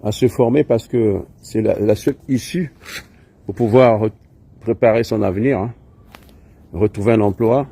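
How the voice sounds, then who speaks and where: A man's voice: fairly low pitch, moderate pace, gravelly, long pauses, mellow. A man, an IT trainer, giving an informal promotional address in hesitant, repetitive phrases. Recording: faint background noise.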